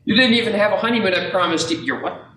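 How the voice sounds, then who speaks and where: Man acting an excitable small-town family man, echoey. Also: sits high, fast talker. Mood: tender, amazed. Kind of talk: amateur radio play acting. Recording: echoey room, distant mic, background noise.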